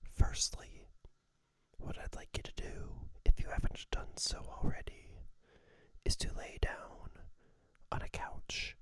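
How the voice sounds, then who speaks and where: A person whispering a guided meditation and body scan for ASMR, soft and breathy, with gentle, soothing instructions. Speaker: ASMR host guiding a meditation.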